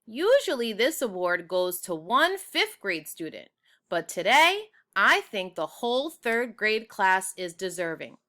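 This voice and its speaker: Woman acting a bright, ceremonious school official. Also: mid-range voice, sing-song, a little slow. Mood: ceremonious praise. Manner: ceremonious, emphatic speechmaking. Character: proud, formal female school official giving awards.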